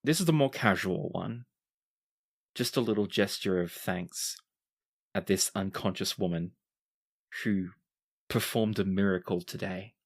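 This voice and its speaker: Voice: sits low, measured pace, vocal fry, pauses often. Game master narrating in dramatic phrases. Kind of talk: narrating a tabletop roleplaying game.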